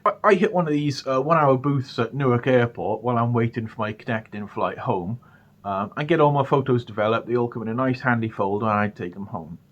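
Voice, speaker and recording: A man's voice: medium pitch, fairly quick. British male vlog storyteller, mid-range voice, casual and stammering, slightly muffled lo-fi recording. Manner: hesitant, stammering delivery with repeated words. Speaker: storyteller, channel host.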